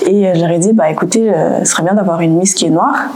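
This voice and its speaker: A woman's voice: fairly low pitch, quick pace, darkish tone. A woman in her twenties from Canada, speaking candidly as an interview guest, with frequent hesitations and restarts. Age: 20s. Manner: hesitant, many fillers and restarts.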